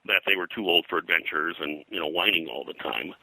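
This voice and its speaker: An older man speaking as an interview guest, reminiscing in a halting, digressive way and often clearing his throat. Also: deep voice, very noisy. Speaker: guest, pulp and comics historian. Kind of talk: interview conversation, reminiscing about his work.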